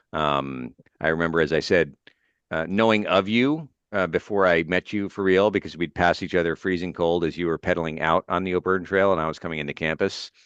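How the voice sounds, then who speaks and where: An American man hosting informally as a student adviser, talking in a halting, appreciative way. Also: sits low, fairly bright tone, smooth, no echo, highs cut off. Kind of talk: informal spoken introduction and questions.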